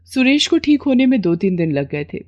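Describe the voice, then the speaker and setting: Female storyteller, medium pitch. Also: very animated, fairly quick, dull audio. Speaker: narrator. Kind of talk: scripted moral story narration.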